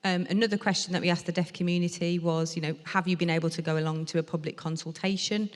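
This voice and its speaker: A woman interpreter voicing a deaf speaker's talk aloud, in a measured, explanatory way with some fillers. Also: fairly low pitch, steady tone. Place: lecture hall at a museum event. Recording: reverberant lecture hall.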